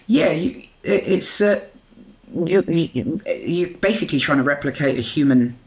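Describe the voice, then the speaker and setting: A man, a native Bengali speaker, guest on a podcast interview, explaining at length in halting speech full of restarts and fillers. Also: fairly high pitch, animated. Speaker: guest, AI company co-founder.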